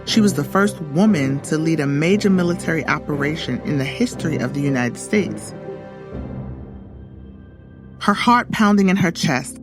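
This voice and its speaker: A woman's voice: low voice, lively intonation, normal speed. A woman reading a scripted story for children as its narrator. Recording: music under the voice. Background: background music.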